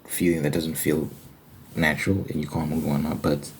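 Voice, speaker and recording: A man's voice: rumbling low, flat delivery, moderate pace, a little hiss or hum, rough recording. A man giving an informal ASMR-style Bible commentary, arguing his points emphatically with rhetorical questions. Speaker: host of an ASMR Bible study channel.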